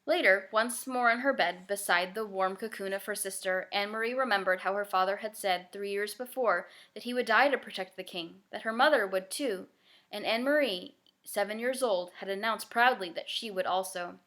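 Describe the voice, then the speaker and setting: A woman narrating a children's novel as a scripted, expressive read-aloud. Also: medium pitch, moderate pace.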